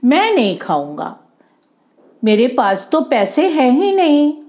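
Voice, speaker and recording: A woman's voice: sits high, sing-song, slow, warm tone, exaggerated voice. A woman voicing an obedient, innocent young boy in a children's story, speaking politely and simply. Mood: innocent surprise.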